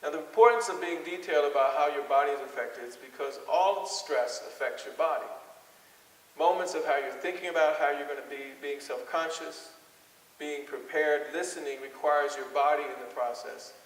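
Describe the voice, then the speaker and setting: Higher-voiced man leading a workshop in an echoey hall. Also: normal speed. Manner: repeats answers back, encouraging. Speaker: workshop speaker. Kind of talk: interactive workshop talk, questioning participants and explaining. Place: large hall with an audience. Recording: echoey hall, distant microphone.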